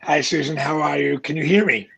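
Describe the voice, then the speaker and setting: Hoarse raspy middle-aged man on a video call. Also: a little high, steady tone, a bit bright. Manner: friendly, halting with many uhs. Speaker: guest. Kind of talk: casual interview chat. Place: home room. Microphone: video call webcam.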